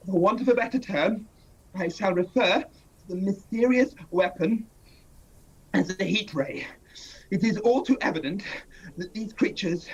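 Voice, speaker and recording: A man playing a slow, careful professor. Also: high voice, breathy edge, lo-fi sound. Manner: slow, deliberate, weighing each word. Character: measured, cautious male scientist professor. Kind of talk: 1930s-style radio drama acting.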